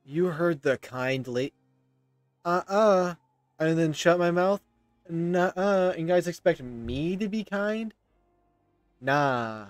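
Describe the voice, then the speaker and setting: A man's voice: fairly high pitch, lively intonation, slow pace, long pauses, clean tone, no room sound, soft background music. A man, casually commenting on a game as he plays and repeating himself. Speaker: game streamer. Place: indoor room.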